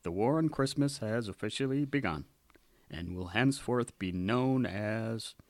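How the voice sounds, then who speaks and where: A man's voice: fairly low pitch, animated, unhurried, a little mellow, no room sound. A man playing a bossy, self-righteous militant protest leader in a satirical radio drama. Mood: commanding.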